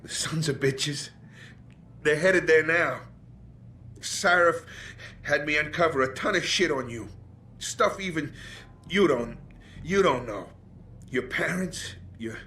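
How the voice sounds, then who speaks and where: A man playing a wounded, bitter investigator in a video game drama, halting and sardonic as he struggles through pain. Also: a little high, sharp tone, slight background noise, exaggerated voice. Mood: desperate, pained. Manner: halting, sardonic, repeating himself. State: in pain, slurring and faltering. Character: gravely wounded, bitter, foul-mouthed older investigator on morphine.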